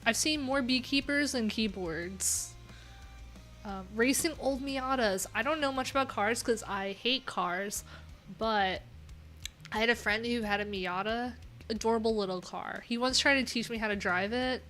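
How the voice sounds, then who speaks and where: A woman's voice: a little high, unhurried, a bit bright. A woman hosting a casual livestream, reading out viewers' answers and adding loose, chatty asides with lots of fillers. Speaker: livestream host. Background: pop punk music playing.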